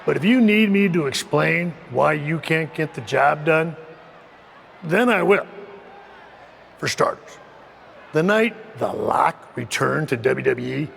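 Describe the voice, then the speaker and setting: A domineering faction leader from a wrestling video game lays down orders in an unhurried, gravelly voice. He talks down to his teammate with cold, measured condescension. A faint hiss of noise sits behind him. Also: a little high, animated, fairly bright tone, exaggerated voice. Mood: menacing.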